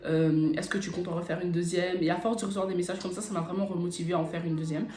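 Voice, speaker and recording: A young woman from France telling personal stories in a casual, animated, slangy chat as a video blogger. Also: lowish voice, fast talker. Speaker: YouTube vlogger. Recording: roomy echo, music bed behind. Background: background beat music.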